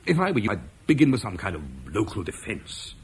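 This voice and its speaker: A husky, weary Roman officer. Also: male voice, on the low side, very animated, fairly quick, full character voice. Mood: earnest. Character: weary Roman officer Marcus, duty-bound soldier. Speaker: Roman commander leaving Britain. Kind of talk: 1950s BBC radio drama acting.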